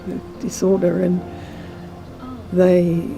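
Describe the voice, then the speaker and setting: Older woman, monotone, fry-laden voice, personal testimony, clear background music. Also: lowish voice, slow pace, low-quality recording.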